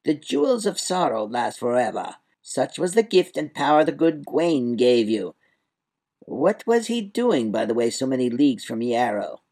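Guy doing a quavery little old wizardly fellow, pushy and dramatic, voice up high, storybook style. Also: big pitch swings, normal speed, a little mellow, poor audio. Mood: musing. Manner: insistent, dramatic declaiming.

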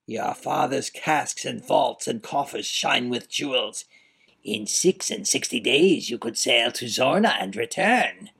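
A man voicing a cold, sneering old duke who taunts slowly and menacingly in an audiobook. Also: mid-range voice, animated, creaky, fairly bright tone, husky, exaggerated voice. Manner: slow, menacing, mocking. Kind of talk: audiobook character voice.

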